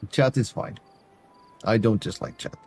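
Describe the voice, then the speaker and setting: A man's voice: fairly low pitch, a little slow, a little mellow, faint music behind. A Canadian man streaming a game, chatting to his viewers in a put-on foreign accent that drops articles. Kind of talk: casual gameplay chat with viewers.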